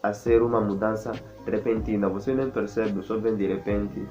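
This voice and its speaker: A man's voice: lowish voice, flat delivery, normal speed, darkish tone, faint music behind. A Mozambican man speaking Portuguese with a Mozambican accent, explaining things in a casual vlog and often repeating words while he searches for the next one. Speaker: vlogger.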